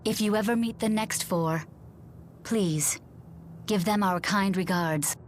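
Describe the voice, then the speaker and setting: Casting: woman as courteous elemental spirit for a game, medium-pitched, composed, gently formal. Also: a little hiss or hum, close to an everyday voice. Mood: resigned, courteous. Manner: polite, measured, formal. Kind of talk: video game voice acting.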